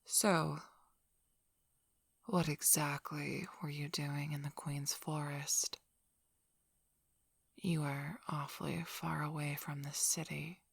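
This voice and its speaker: A woman playing a protective, threatening female werewolf pack leader in an ASMR roleplay, speaking in a suspicious, menacing, breathy near-whisper. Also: deep voice, little pitch movement, takes it slowly, pauses often, no echo, exaggerated voice. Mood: suspicious, interrogating.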